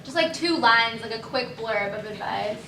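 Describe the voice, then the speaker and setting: Female moderator, bright voice, casual delivery, heard in an echoey space. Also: fairly high pitch, lively intonation, moderate pace. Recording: echoey, distant, faint background noise.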